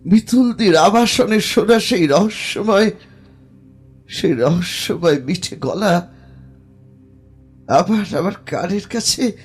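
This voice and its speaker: A man playing a haunted, distressed narrator of a first-person horror audio drama, halting and repeating his phrases. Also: high voice, husky, exaggerated voice. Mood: tense, haunted.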